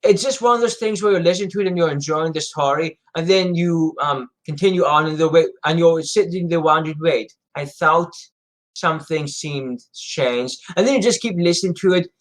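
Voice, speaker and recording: Male co-host, fairly high register, hesitant and repetitive, for casual fan discussion. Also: normal speed. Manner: hesitant, rambling, repeats himself. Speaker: co-host of a Doctor Who discussion show.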